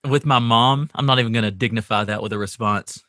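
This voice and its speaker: A man in his thirties co-hosting a comedy podcast, bantering casually with a dry, deadpan delivery. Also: medium pitch, quick pace, no room sound. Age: 30s.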